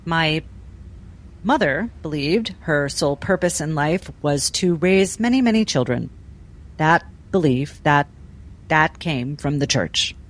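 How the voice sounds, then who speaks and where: A woman doing in-character tabletop role-play drama in a measured, deliberate, restrained way. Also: low voice, animated. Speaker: role-play game player.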